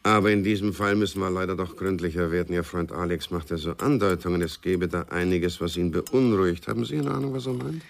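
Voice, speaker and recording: An actor playing a courteous, insinuating police inspector in a German radio crime drama, speaking in a polite, deliberate, probing way. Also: male voice, low-pitched, creaky. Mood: probing, firm.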